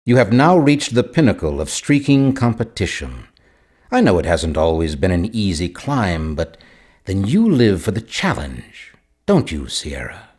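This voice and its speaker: An actor playing a menacing, wealthy mastermind in a video game, speaking in a grandiose, deliberate, coolly manipulative way with a husky voice. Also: male voice, low voice, very animated, a little mellow, exaggerated voice. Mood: grandiose, tempting. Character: Malthus, menacing wealthy male mastermind of a racing program. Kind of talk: scripted video game villain dialogue.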